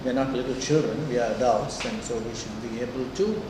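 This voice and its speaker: An older man touched by English, lecturing conversationally and deliberately, often checking in his students. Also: medium pitch, fairly even intonation. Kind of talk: classroom lecture with questions to students. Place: indoor lecture room. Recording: echoey lecture room, distant mic, noisy.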